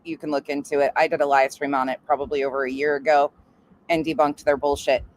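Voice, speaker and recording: A woman's voice: deep voice, little pitch movement, fast. A woman giving casual livestream reaction commentary in a blunt, sarcastic, sweary way. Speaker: live stream commentator.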